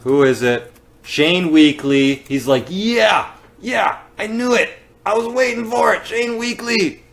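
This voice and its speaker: A man's voice: high-pitched, takes it slowly, creaky, fairly bright tone. A man with a Canadian accent, rambling and joking as he hosts a live giveaway. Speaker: business owner hosting a live giveaway. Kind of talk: casual livestream chat.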